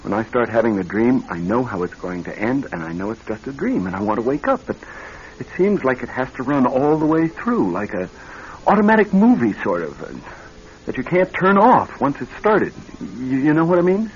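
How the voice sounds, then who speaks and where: An actor in a radio drama playing a nervous young married executive troubled by bad dreams, speaking in a halting, earnest way. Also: male voice, mid-range voice, sing-song, a little hiss or hum, lightly acted. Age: young adult. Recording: dull band-limited old radio recording.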